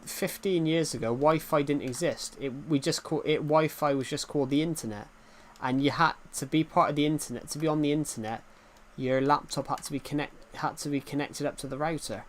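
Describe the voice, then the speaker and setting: A man's voice: a little high, fairly quick, few pauses. Rambling young man streaming games. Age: 20s. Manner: rambling, repeats himself, answers chat. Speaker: gaming streamer. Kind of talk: casual live-stream chat while playing.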